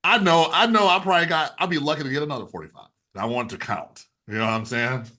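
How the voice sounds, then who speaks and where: A man's voice: a little high, fast talker, bright, forceful tone. A man in his forties hosting an interview in casual, slangy, informal chat. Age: 40s. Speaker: interview host.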